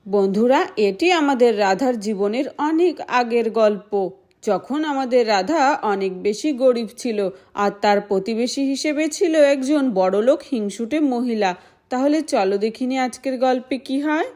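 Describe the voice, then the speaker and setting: Woman, high voice, storyteller, scripted children's narration, direct address. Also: animated, unhurried, darkish tone.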